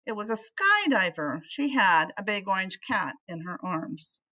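A middle-aged woman reads a picture book aloud to children. Her pitch moves around a great deal, and she adds little asides in an animated teacherly manner. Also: unhurried. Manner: animated, addressing young listeners. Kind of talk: expressive children's storybook read-aloud with asides.

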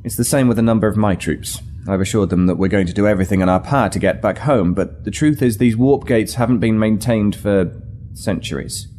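An actor playing a weary but resolute military starship captain in an audio drama, measured, formal and authoritative. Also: male voice, lowish voice, mellow, soft background music, close to an everyday voice. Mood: grave, persuasive.

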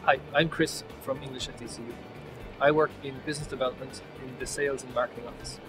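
Fast-talking enthusiastic man. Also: mid-range voice, steady tone, distant mic, music in the background, low-quality recording. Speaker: business development staff. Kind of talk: promotional talking-head.

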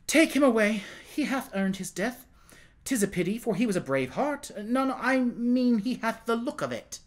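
A young king from a historical storybook, voiced by a male reader. He sounds high and lively, earnest and compassionate. His phrasing is archaic and full of feeling. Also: moderate pace. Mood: regretful. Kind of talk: dramatized audiobook reading.

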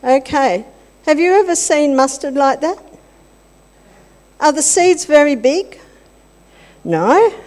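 Give me an older woman with a slightly high voice, giving a chatty children's talk into a handheld mic in a big church hall with long reverb. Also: expressive, takes it slowly, pauses often, darkish tone. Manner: chatty, teasing, asks the children questions. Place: large church hall with congregation. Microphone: handheld microphone.

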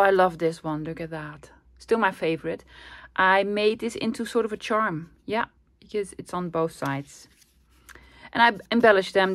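A woman's voice: medium pitch, a bit bright. A woman from the Netherlands with a Dutch accent, hosting a casual crafting tutorial in a chatty, self-deprecating way, slightly under the weather with a cold. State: coming down with a cold, throat clearing. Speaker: crafting channel host.

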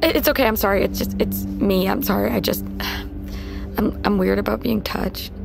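A woman's voice: mid-range voice, normal speed, creaky, over music. An actress playing a determined, vengeful young woman in a naturalistic audio drama, intense and insistent. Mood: shaken, apologetic. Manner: intense, insistent, foul-mouthed.

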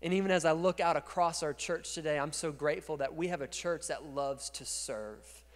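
Male pastor, 30s, higher register, energetic sermon delivery into a handheld mic, echoing church hall. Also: normal speed. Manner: energetic preaching, gesturing and moving on stage, swings from quiet to loud. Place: large dark church stage. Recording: long reverb of a large church hall.

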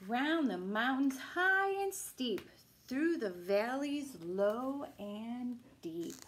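A middle-aged woman reading a picture book aloud as a children's storytime host, with a deliberate, singsong delivery. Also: a little high, animated, fairly bright tone, slight background noise. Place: small room at home, indoors. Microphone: camera a few feet away.

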